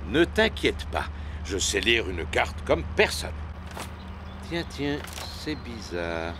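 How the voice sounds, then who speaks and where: A man's voice: mid-range voice, sing-song, takes it slowly, background noise. An actor playing a jolly, boastful cartoon father pig with a hearty, bumbling, self-assured manner. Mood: puzzled.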